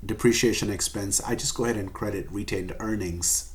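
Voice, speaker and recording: A man with a fairly low voice delivers a recorded lecture in the role of a professor. He explains methodically, one step after another, leaning on the important words as he teaches. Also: brisk. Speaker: accounting professor.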